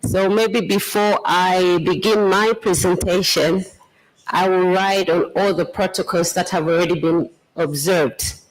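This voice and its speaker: A trade official with a Zambian accent delivers a formal presentation. The delivery is measured and explanatory, at a notably slow pace. The voice sits at a middling pitch. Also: steady tone, rough recording.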